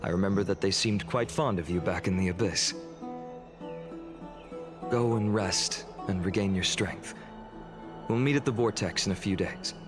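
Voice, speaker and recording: A gentle, earnest warrior voiced by a man in a story-driven video game, with a fairly low voice. He speaks in measured, formal, courteous phrases, and music plays clearly behind him. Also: natural voice. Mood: courteous, reassuring. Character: gentle, earnest male warrior worried for his comrades.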